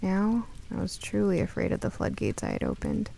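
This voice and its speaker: Mellow, creaky woman narrating a story. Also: mid-range voice, wildly swooping pitch, a little fast, a little hiss or hum. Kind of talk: scripted first-person story narration.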